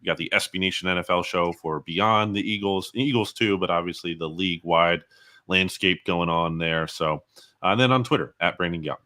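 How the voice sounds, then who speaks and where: A man with a very low, bright and punchy voice gives football analysis as an interview guest. He reasons through his points in a measured, analytical manner.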